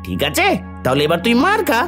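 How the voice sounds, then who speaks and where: A landlord's bullying henchman from a cartoon fairy tale, acted by a man, with hugely swinging pitch. He is impatient and threatening as he demands things, with music playing behind him. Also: huge pitch swings, fast, heavily acted. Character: bullying male henchman collecting rent for a landlord. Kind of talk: animated folk tale acting.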